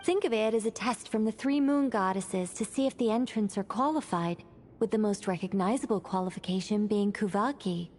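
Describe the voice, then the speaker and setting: A woman's voice: mid-range voice, moderate pace, darkish tone, lightly acted. Actress as a formal, scholarly, self-assured woman. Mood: explanatory. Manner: formal, precise, explanatory. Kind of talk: video game voice acting.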